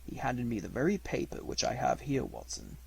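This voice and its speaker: A man with a husky voice giving an expressive, scripted reading of a classic detective story as an amateur audiobook narrator. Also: mid-range voice, a little fast, poor audio.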